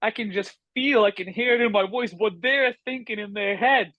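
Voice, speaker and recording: A man's voice: high-pitched, moderate pace, no echo, lo-fi sound. A man from Kazakhstan speaks, sometimes stopping to search for a word. He laughs at himself as he talks. The sound is dry and close. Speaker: podcast guest, former hockey player. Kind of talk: casual chat.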